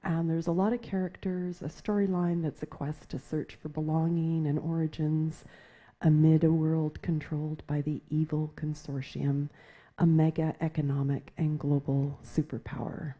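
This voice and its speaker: A woman poet speaking softly and with measured phrasing, introducing her work and reading her poems on a theatre stage. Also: low voice, level tone. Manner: soft-spoken, measured. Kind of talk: poetry reading at a literary festival.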